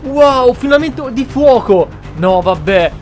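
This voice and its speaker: A man's voice: very high voice, animated, measured pace. A man with an Italian accent giving casual gameplay commentary, reacting aloud to what happens in the game. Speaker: game streamer. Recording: loud game audio behind the voice. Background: loud game music and battle sounds.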